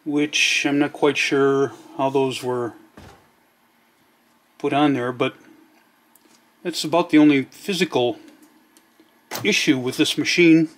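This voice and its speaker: A man's voice: mid-range voice, fairly even intonation, slow pace, pauses often, slight background noise. A man narrating a casual product demonstration in a plainspoken, matter-of-fact way. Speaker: demonstrator of vintage electronics.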